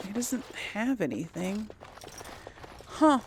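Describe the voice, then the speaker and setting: A woman's voice: mid-range voice, sing-song, slow, a little hiss or hum. A woman giving casual game commentary as a let's play host, musing aloud to herself.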